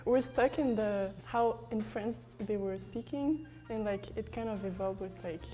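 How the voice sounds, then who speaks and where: Woman from quebec chatting easy in a group video, music clear underneath. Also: medium pitch, unhurried, warm tone, low-quality recording. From Quebec, Canada. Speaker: interviewee. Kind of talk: casual panel chat.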